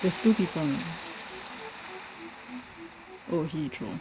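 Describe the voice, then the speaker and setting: A woman's voice: low voice, takes it slowly. A woman giving casual gameplay commentary, musing aloud. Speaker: game streamer. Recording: clearly noisy, with clicks.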